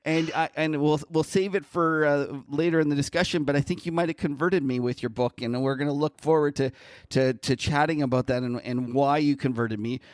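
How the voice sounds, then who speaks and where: A man co-hosting a casual interview podcast, talking in an easygoing, halting way that often restarts sentences. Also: a little high, steady tone, no echo, clean studio sound.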